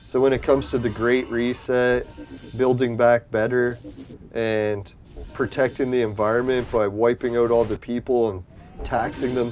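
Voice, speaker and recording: A man's voice: mid-range voice, darkish tone, a little hiss or hum. A young man, rambling casually while he streams a game. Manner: rambling, chatty, swears and laughs. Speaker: gaming streamer. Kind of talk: casual gameplay commentary.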